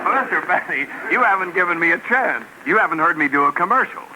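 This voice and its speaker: A man's voice: high-pitched, animated, quick pace. A man in a 1940s radio comedy, an announcer who talks in an eager, fawning way. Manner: eager, fawning, with big laughs. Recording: noisy vintage radio broadcast.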